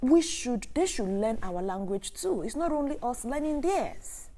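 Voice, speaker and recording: A woman's voice: a little high, lively intonation, a little slow, warm tone. A woman with a Nigerian Igbo accent, a native Igbo speaker, talking emphatically to a live online audience as host, with rhetorical questions. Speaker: host, sex educator.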